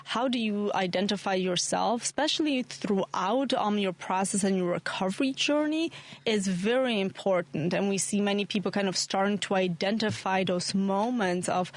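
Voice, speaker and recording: A woman in her forties, originally from Poland, is a guest on a radio interview and speaks at a mid pitch. She explains things in a measured, thoughtful way with frequent ums. Her voice is recorded in a studio. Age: 40s. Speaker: guest, addiction treatment director.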